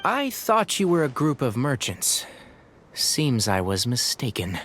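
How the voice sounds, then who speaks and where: A polite, wary young traveler. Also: male voice, mid-range voice, very animated, measured pace, fairly bright tone. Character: polite young traveler asking after a missing man. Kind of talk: video game cutscene voice acting.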